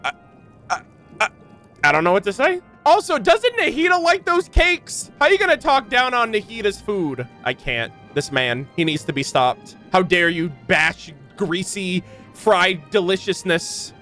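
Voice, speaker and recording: A man's voice: high voice, very animated, unhurried, sharp tone, faint music behind. A man doing casual, slangy reaction commentary on a game, swearing and exclaiming as he goes. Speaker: host of a game reaction channel.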